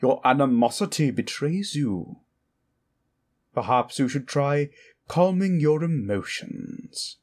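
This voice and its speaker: Casting: silky, taunting elder sorcerer, unhurried and highly melodic, words trailing off into a hush, fantasy roleplay. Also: male voice, very animated. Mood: mocking. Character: smug old powerful male sorcerer, silkily taunting. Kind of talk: fantasy audio roleplay, improvised.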